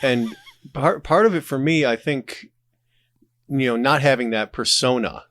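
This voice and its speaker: Guy guesting on a podcast, talking candidly and casually, average pitch, no room echo at all. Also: measured pace, a bit bright. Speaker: podcast guest, audio drama creator and streamer.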